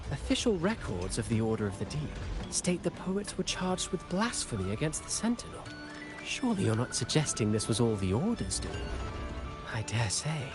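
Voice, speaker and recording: A suave game villain type, a traveling playwright voiced by a male actor. He speaks formally and theatrically with lively swings in pitch, shrewd and condescending, over game music. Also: lightly acted. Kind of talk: scripted video game acting. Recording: clear game music behind.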